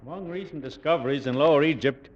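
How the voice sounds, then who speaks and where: A man's voice: on the high side, fast, a crowd in the background, lightly acted. An actor playing a man who reads out a newspaper report in old-time radio style. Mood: matter-of-fact. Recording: dull old radio recording.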